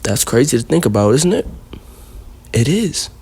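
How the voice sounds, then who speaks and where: A man's voice: mid-range voice, big pitch swings, moderate pace, darkish tone, husky. A man hosting a casual countdown video, rambling and leaning heavily on fillers. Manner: rambling, heavy on fillers like "you know". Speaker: video host.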